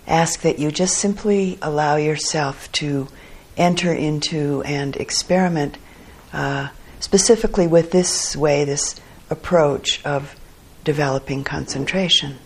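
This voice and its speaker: A woman meditation teacher guiding a sitting practice, speaking gently and unhurriedly in short phrases broken by long pauses. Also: deep voice, slightly rough. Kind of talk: guided meditation instruction. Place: meditation hall.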